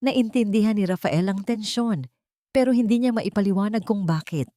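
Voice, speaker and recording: A woman's voice: medium pitch, moderate pace, dark tone, no echo, clean studio sound. A woman narrating a scripted dramatic story as its narrator.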